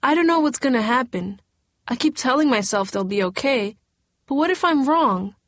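A troubled teenage girl talks through worry and strain, as a woman reading the story voices her. Her voice sits at a middling pitch and comes across close and dry. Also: lively intonation, a little slow, no echo. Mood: distraught. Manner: emotional, strained.

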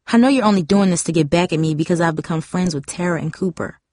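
A woman's voice: on the low side, quick pace, a little mellow, no echo, clean studio sound, lightly acted. A woman voicing a hot-tempered, defensive teenage girl in an audiobook, emphatic and indignant. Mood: angry.

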